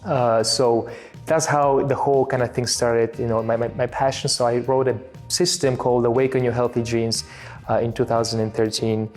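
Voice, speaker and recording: A man's voice: medium pitch, little pitch movement, darkish tone. Bulgarian guy in his 30s, lots of ums and restarts, faint music behind. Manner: halting, many fillers and restarts. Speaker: interview guest, filmmaker. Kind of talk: casual interview talk.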